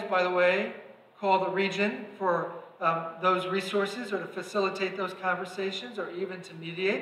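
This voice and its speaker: A man's voice: sits high, sharp tone. A middle-aged man preaching a sermon from a pulpit, earnest and building to emphatic exhortation. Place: large church sanctuary, at a pulpit. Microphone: pulpit mic. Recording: echoey sanctuary reverb.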